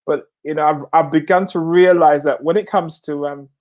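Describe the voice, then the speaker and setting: Host, male, middle-aged, raised in Jamaica; high-pitched, halting restarts, punchy repeated slogans. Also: fairly even intonation, a little mellow. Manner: stammering repeats, laughs often, emphatic slogans. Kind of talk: casual chat.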